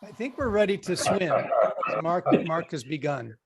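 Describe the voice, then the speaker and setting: A man hosting an interview over a video call, friendly and organized as he guides things along. His voice sits a little high for a man. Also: very animated, moderate pace, crowd noise. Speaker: interview host.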